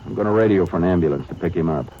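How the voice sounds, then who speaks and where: Low calm ranger, short plain orders, muffled old radio with music. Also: male voice, rapid-fire, a little hiss or hum. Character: steady male Texas Ranger narrating his case. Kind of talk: 1950s radio drama acting and narration.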